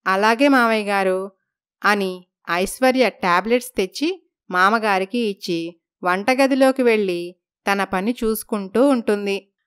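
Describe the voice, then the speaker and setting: A woman's voice: mid-range voice, unhurried, clean tone. A woman narrating a scripted moral story as the storyteller.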